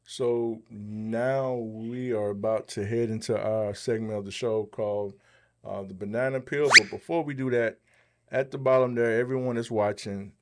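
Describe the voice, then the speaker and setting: A man's voice: lowish voice, fairly even intonation, normal speed. A man hosting a podcast, talking conversationally and giving advice. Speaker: podcast host.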